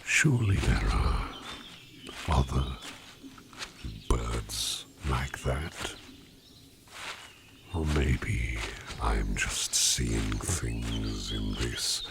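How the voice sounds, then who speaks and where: Man voicing a very deep gravelly whispering demon. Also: lively intonation, exaggerated voice. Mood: hushed wonder. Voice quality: breathy, gravelly near-whisper. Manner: slow, halting, formal phrasing. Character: gentle, formal male sleep demon disguised as human. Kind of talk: ASMR roleplay, personal attention. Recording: noisy, outdoor nature sounds behind.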